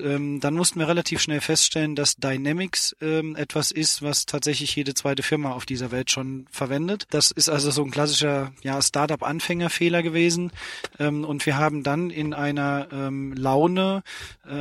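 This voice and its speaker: A company founder from Saarland answers questions in a slightly higher voice, pausing often with fillers. The sound is dry and close, recorded inside a car. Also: male voice, fairly bright tone, no room sound. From Saarland, Germany. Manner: hesitant, many fillers. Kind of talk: interview answers. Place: inside a parked car at an expo.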